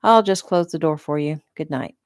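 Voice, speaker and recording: A woman's voice: low voice, moderate pace, clean tone, no room sound, studio quality. A woman reading the part of a police lieutenant with a firm, scolding manner. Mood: stern. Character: man, police lieutenant, stern but good-humored.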